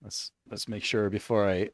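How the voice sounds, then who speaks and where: A man's voice: fairly low pitch, vocal fry, dry recording. A man from Canada, whose first language is Italian, chatting casually on a game stream while he plays. He rambles and corrects himself often. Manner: rambling, self-correcting storyteller. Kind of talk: casual chat while gaming.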